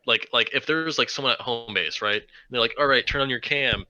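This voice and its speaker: A man co-hosting a casual film podcast, working out his thoughts in halting, tentative phrases. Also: medium pitch, pushed and bright, no echo, highs cut off. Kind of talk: casual group chat.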